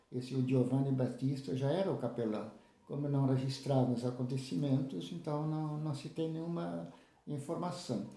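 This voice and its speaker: A man in his seventies, from southern Brazil, recalling his life in a halting way with many restarts. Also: medium pitch, mellow, reverberant. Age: 70s. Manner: halting, restarts with repeated words. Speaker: interviewee, lay church leader. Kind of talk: casual spoken recollection.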